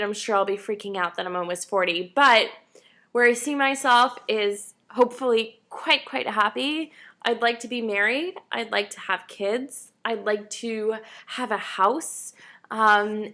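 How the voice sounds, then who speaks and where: A woman's voice: a little high, a little slow, bright, forceful tone. A woman in her late twenties, a tired vlogger chatting casually and self-deprecatingly to camera. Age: 20s.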